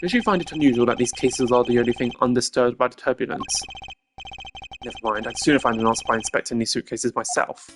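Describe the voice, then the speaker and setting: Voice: mid-range voice, fast talker, close to an everyday voice. Streamer doing a stuffy proper prosecutor guy from a video game, clipped n haughty, easily annoyed, music behind. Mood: insistent, exasperated. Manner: formal, precise, clipped and haughty. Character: stern, proper male prosecutor, analytical and easily exasperated.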